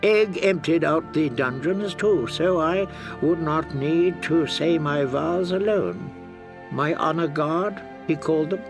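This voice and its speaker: Frail ancient male scholar, high voice, very unhurried, wistful audiobook reminiscence. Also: music in the background. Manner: slow, reminiscing. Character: very old frail maester, wistfully recalling his youth. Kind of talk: audiobook character acting.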